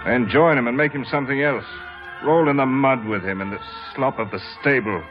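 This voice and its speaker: An actor playing a drunken, bitter brother and bullying master of the house in an old radio drama, with a sneering, demanding manner. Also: male voice, mid-range voice, brisk, close to an everyday voice. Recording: muffled old radio broadcast with music.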